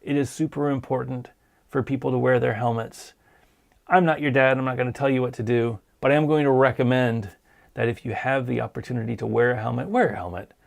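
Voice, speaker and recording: A man hosting a casual live-stream show, hoarse from a sinus cold, talking in an earnest, encouraging way. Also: mid-range voice, fairly even intonation, brisk. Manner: earnest, encouraging, plain-spoken. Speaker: podcast host.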